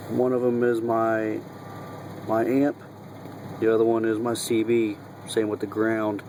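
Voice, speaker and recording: A man giving a casual, folksy how-to walkthrough in and around a vehicle, talking in colloquial speech to a handheld camera. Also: on the low side. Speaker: truck driver and hobbyist demonstrator. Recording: noisy vehicle and engine hum.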